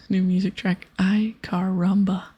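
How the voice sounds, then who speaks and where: A woman speaking softly and breathily as she gives ASMR commentary on a video game she plays. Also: mid-range voice, flat delivery, slow. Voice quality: breathy, soft. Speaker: ASMR gaming host. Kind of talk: soft-spoken ASMR gameplay commentary. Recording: soft music behind.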